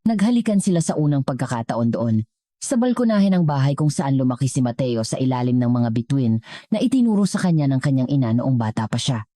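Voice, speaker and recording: A woman's voice: extremely low pitch, expressive, fairly quick, warm tone, dry recording, studio quality. A woman with a Filipino accent narrating a scripted story as a storyteller.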